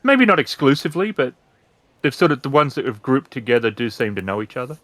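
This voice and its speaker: A man's voice: mid-range voice, very animated, fast, fairly bright tone, no echo. A man improvising narration as the game master of a tabletop roleplaying game.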